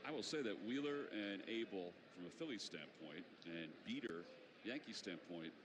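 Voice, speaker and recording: Man, American accent, lowish voice, baseball play-by-play, noisy outdoor stadium. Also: lively intonation, normal speed. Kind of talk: live baseball commentary and in-game interview. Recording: outdoor ballpark noise. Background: ballpark ambience.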